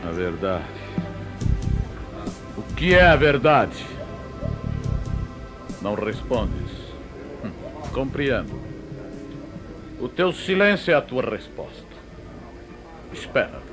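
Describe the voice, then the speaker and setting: An actor playing an imperious, impatient Roman governor in a biblical audio drama, with theatrical, declamatory delivery. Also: male voice, medium pitch, huge pitch swings, takes it slowly, pauses often, music in the background. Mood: pensive.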